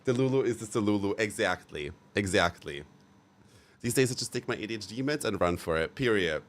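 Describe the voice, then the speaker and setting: Gushing, chatty man with a low voice. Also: brisk. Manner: gushing and expressive, with many fillers and repeated "so good"; chatty, frank and sometimes profane. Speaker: livestream host. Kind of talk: casual chat with viewers.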